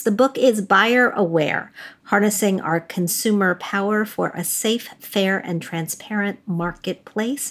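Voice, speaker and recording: A woman hosting a personal finance podcast, talking in a brisk, plain-spoken way. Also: mid-range voice. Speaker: podcast host. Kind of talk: casual chat and read ads.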